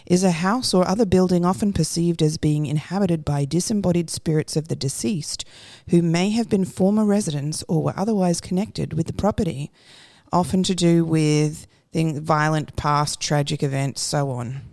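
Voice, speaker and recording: A woman's voice: low-pitched, normal speed, warm tone, no room sound, studio quality. A woman co-hosting a faith podcast, asking questions in a friendly way. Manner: friendly, prompting questions, reads definitions aloud. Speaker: podcast co-host. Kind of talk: casual faith podcast chat.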